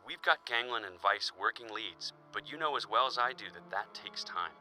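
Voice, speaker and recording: A man acting as a police chief in an audio drama, concerned but firm, speaking in a measured, earnest way. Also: fairly low pitch, lively intonation, sharp tone, faint music behind, poor audio. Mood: firm, urging.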